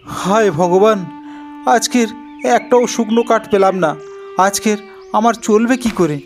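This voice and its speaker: A man's voice: sits high, unhurried, faint music behind, pitched above the performer's own voice. A man playing a poor, humble woodcutter in a cartoon folk tale, plaintive and respectful. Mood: despairing. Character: poor, sorrowful, pleading woodcutter, humble husband.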